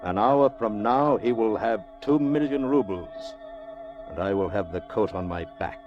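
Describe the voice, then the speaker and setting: Slow, deep-voiced brooding banker. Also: male voice, expressive, lo-fi sound, music in the background. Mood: brooding, bitter. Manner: slow, grave, theatrical delivery. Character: brooding wealthy banker, desperate and haunted by a wager. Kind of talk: old time radio drama acting.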